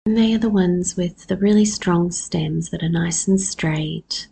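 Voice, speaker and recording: Woman podcaster w a low hoarse husky voice, lots of creak, reflective solo talk. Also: measured pace, warm tone, a little hiss or hum. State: hoarse after losing voice. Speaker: podcast host. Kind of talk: personal solo podcast talk about herbs.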